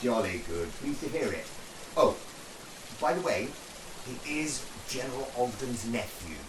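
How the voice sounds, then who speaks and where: A man performs as an avuncular, upper-class officer in a period war radio drama. His hearty, old-fashioned speech swings widely in pitch, heard from a distance in an echoey, rainy space. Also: animated, a little slow, lightly acted. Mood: brisk, confiding. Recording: echoey, distant, loud rain noise.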